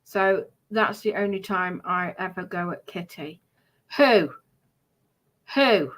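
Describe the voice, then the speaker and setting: A woman's voice: lowish voice, slow, fairly bright tone, poor audio. A woman hosting a casual live stream chat, talking in an insistent, questioning way.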